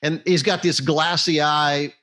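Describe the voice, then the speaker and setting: Higher-pitched American male interviewer. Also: little pitch movement, unhurried, pushed and bright. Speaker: interviewer, host. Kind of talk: casual conversational interview.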